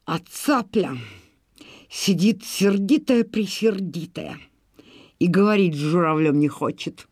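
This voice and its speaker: A woman narrates a folk tale as an expressive storyteller. Her voice is fairly low and her delivery measured and slow. The recording is dry and close, with a folksy feel to the telling. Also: no echo.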